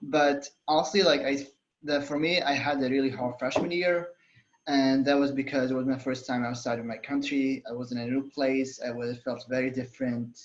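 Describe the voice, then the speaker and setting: A man's voice: mid-range voice, fairly even intonation, moderate pace, reverberant, dull audio. A young man from Saudi Arabia, a student on a college panel, talking casually with many fillers and restarts. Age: young adult. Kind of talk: casual panel talk on a video call.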